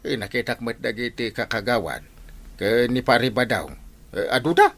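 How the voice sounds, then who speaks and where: A man's voice: medium pitch, moderate pace, fairly bright tone. A man with an Ilocano Filipino accent playing a lazy, grumbling, henpecked village captain in a comedy radio drama. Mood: reluctant, grumbling.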